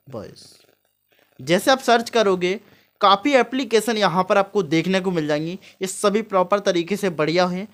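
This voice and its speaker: A man hosting an informal, step-by-step screen tutorial. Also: high-pitched. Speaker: tutorial host.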